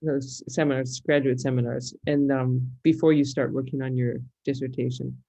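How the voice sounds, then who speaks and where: Female interview guest, Californian American, thoughtful and halting conversational delivery, slightly muffled lo-fi recording. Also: extremely low pitch, level tone, dark tone. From California, United States. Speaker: interview guest, musicologist and fiddler.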